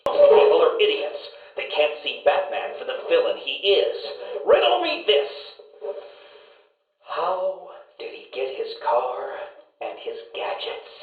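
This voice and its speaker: A man playing a smug, obsessive riddle-posing villain in a video game, with a condescending, theatrical, sneering delivery. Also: fairly high pitch. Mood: contemptuous, ranting. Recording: tinny phone-like sound, roomy echo.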